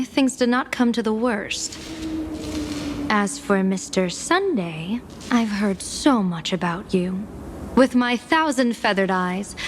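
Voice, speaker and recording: A teasing, laughing seer general. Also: female voice, fairly high pitch, slight background noise. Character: playful scheming female general and seer. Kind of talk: game cutscene voice acting.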